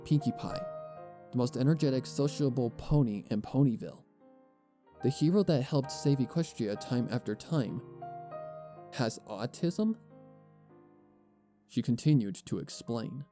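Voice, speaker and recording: A man's voice: medium pitch, animated, a little slow, pauses often, warm tone. A man giving scripted storybook narration as the narrator of a fan fiction audio reading. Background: music.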